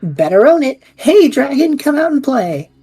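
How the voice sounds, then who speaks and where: A woman's voice: high-pitched, normal speed, dry recording, soft background music. A woman playing a reckless young male adventurer. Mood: excited. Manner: excitable, joking, reckless. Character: eager scatterbrained young male adventurer hero. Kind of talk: fan audio drama.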